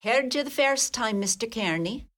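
A woman playing a feisty, sharp-tongued Irish postal worker in a radio play. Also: a little high, animated, normal speed. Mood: tart, irritated. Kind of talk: amateur radio play acting.

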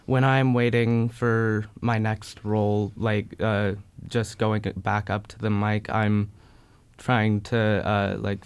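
A teenage boy answers interview questions in a casual, halting way. His voice is smooth and clear, captured with little room sound. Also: on the low side, dry recording. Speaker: cast member being interviewed.

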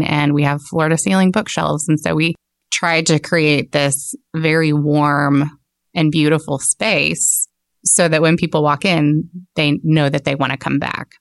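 A woman's voice: sits low, no room sound, clean studio sound. A woman with an American accent explaining things casually as a shop owner being interviewed. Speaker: bookstore owner, interview guest.

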